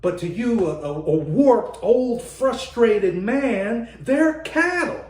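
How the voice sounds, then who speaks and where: A man's voice: high-pitched, animated, slow, a little mellow. A man acting an earnest, indignant man in an emphatic, dramatic radio play monologue. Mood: quiet, bitter. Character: earnest, indignant man defending his father. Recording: echoey room.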